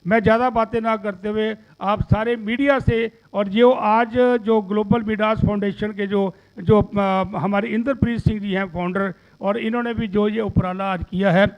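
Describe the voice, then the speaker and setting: Older man in his 60s, high-pitched, rambling earnest press appeal, dull lo-fi recording. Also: steady tone, moderate pace, hardly any pauses. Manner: rambling, repeats phrases while making an impassioned appeal. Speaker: social activist and businessman giving a media statement.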